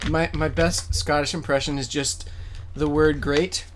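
A man's voice: a little high, little pitch movement, a little slow, a little hiss or hum. A man chatting casually and playfully as the host of a podcast. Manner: playful, jokey. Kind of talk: casual chat.

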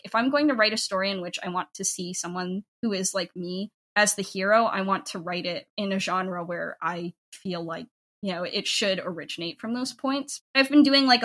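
A woman's voice: medium pitch, fairly bright tone. A woman with an American accent speaking casually and earnestly as an interview guest, with an effusive, reflective way of talking. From United States. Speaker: interview guest, audio drama creator.

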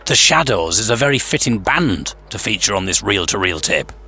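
A man hosting a podcast, narrating a scripted story with theatrical suspense, pauses and wry humour. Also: fairly low pitch, sing-song, vocal fry, sharp tone. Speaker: podcast host. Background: music.

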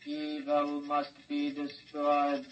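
Dull old radio recording, grinding robot child, utterly monotone, stiff simple phrasing, naive menace. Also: male voice, a little high, slow pace. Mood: obedient, flat. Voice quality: grinding mechanical voice. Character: childlike eight-foot steel robot, naive, learning to kill. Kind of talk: 1950s radio drama acting.

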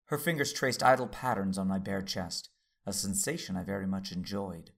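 Composed Jedi master, male, low gravelly voice, husky, measured, tender, sci-fi audio drama narration. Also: fairly even intonation, fairly bright tone, heavily acted. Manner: measured, formal, unruffled. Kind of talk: first-person dramatic narration.

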